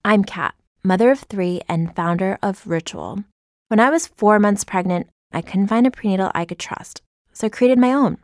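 A woman's voice: medium pitch, normal speed, dry recording, clean studio sound. An American woman, a company founder, giving a scripted personal testimonial for an advertisement. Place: dry close studio recording.

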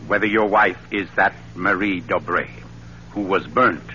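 An actor playing a suave, theatrical gentleman writer turned sleuth in a 1940s radio mystery, speaking in a pompous, urbane, teasing way. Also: sits low, fairly even intonation, a little slow. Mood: knowing, dramatic. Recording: scratchy, dull 1940s radio recording with hum.

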